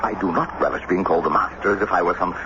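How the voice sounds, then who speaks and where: A man's voice: low-pitched, steady tone, racing, lo-fi sound, close to an everyday voice. An actor playing a pompous, stubborn American statesman, formal and oratorical, in a radio drama. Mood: indignant. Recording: noisy, band-limited old radio broadcast.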